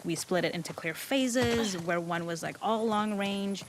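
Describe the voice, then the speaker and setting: A woman's voice: mid-range voice, unhurried, a little hiss or hum. A woman working as a game level designer gives explanatory developer commentary on her work.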